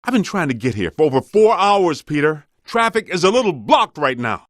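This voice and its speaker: A wry, streetwise ghost hunter with a very animated pitch that swings around, recorded dry and close. He grumbles and wisecracks like a working guy in a video game. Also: moderate pace, no room sound. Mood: wry, questioning. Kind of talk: scripted game voice lines.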